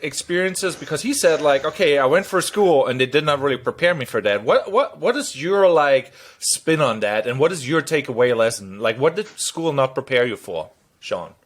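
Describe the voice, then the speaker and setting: A man hosting a podcast, asking questions in a lively way and laughing often. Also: fairly high pitch, moderate pace. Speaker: podcast host. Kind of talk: casual podcast interviewing.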